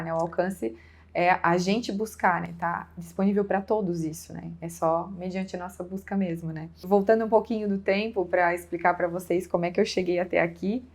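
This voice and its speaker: A woman pastor with a southern Brazilian accent, giving an informal personal testimony as a guest, with frequent conversational check-in tags. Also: medium pitch.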